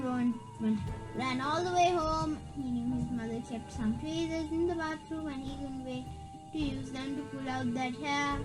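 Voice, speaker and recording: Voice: high-pitched, poor audio. Someone reading a children's spooky story aloud, stumbling and repeating words along the way. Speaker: reader. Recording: background music. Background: music.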